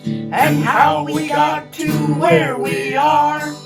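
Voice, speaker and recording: A woman singing a gentle children's singalong, leading the song with a guitar. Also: high-pitched, fairly even intonation, very slow. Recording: roomy, distant mic. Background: acoustic guitar accompaniment.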